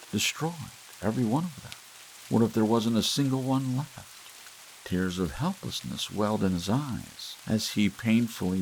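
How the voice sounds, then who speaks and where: A man reading a short story aloud in a slow, soft, gentle ASMR style, with long pauses between phrases. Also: lowish voice, gravelly, rough recording. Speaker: audiobook narrator. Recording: faint hiss and soft rain behind.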